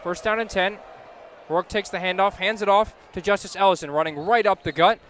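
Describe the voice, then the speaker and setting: High-pitched male football play-by-play announcer. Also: fairly quick, slight background noise. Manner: builds to excited calls on big plays. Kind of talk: live radio sports commentary. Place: live football game broadcast.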